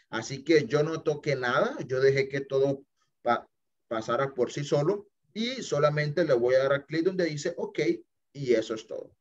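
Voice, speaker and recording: Slow-talking man teaching over a video call. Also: mid-range voice. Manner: chatty, checks in with "listo?" and "ok?", mixes English phrases. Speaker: English teacher. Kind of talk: screen-share app walkthrough for students. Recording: dull lo-fi video call audio.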